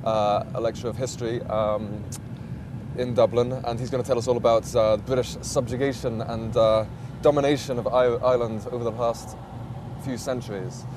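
A man's voice: medium pitch, steady tone, normal speed. A man presenting and interviewing on location as a documentary reporter. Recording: clear outdoor city and traffic noise.

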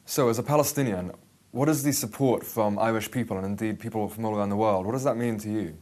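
A man's voice: fairly low pitch, fast talker, darkish tone. A man presenting and interviewing on location as a documentary reporter. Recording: clear outdoor city and traffic noise.

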